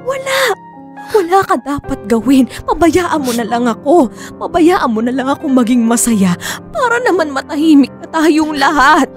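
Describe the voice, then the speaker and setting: A woman's voice: high voice, sing-song, normal speed. A woman acting in a radio drama as a fed-up, defiant unfaithful wife and mother, scolding with emphatic emotion. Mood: exasperated. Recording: music bed behind the voice.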